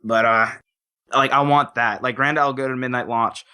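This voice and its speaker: Bright, forceful man in casual chat. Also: mid-range voice, steady tone, moderate pace, clean tone.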